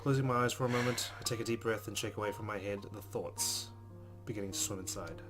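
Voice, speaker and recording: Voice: medium pitch, quick pace. Game player reading over plucked guitar music. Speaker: let's player reading game narration aloud. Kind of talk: reading story narration with casual asides.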